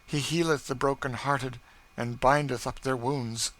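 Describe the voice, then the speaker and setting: Man voicing tender consoling lady, soft, gentle, raised pitch. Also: steady tone, a little slow, natural voice. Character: tender gentle plantation mistress, woman.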